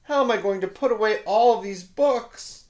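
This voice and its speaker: A man voicing a flustered male library volunteer in a storybook, exclaiming loudly in a high, exasperated voice. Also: normal speed, lightly acted. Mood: dismayed.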